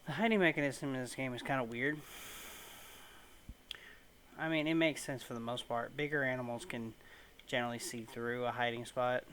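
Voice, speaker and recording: A man's voice: medium pitch, steady tone, normal speed, a bit bright, slight background noise. A man giving casual gaming commentary in an offhand, mildly grumbling way, with some swearing. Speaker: gameplay video host.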